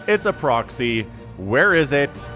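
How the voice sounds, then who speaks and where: A man's voice: medium pitch, slow pace, soft background music. A man casting a strategy video game replay in a casual, jokey play-by-play style, chatting between the action. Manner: animated, chatty, jokey, with laughs and hummed fillers. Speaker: game shoutcaster.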